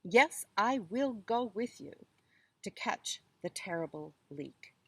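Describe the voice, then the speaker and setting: A proud, mighty tiger from a children's storybook, played by a woman. The delivery is slow and declamatory, swinging widely in pitch with a husky edge. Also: lively intonation, bright, forceful tone, no room sound, heavily acted. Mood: boastful, resolute. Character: proud, boastful tiger, mighty forest beast. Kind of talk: children's folktale read aloud.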